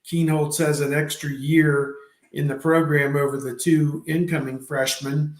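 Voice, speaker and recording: A man's voice: on the high side, level tone, a little slow. Rambling fifties man in an echoey room. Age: 50s. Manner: rambling, hesitant with many fillers. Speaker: podcast host. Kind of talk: casual sports talk.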